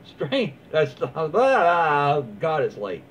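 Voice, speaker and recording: A man's voice: a little high, wildly swooping pitch, very slow. A man, reading stories aloud and commenting casually in a folksy, rambling, easygoing way. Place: small room at home, indoors. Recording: dull lo-fi sound with clear background noise.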